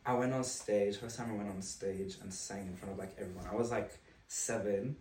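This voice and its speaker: A man's voice: lowish voice, fairly even intonation, a little fast, a little mellow, a little hiss or hum. A young man from Zimbabwe, a podcast guest telling stories in a chatty way with frequent laughs. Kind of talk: casual chat. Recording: roomy room, distant mic.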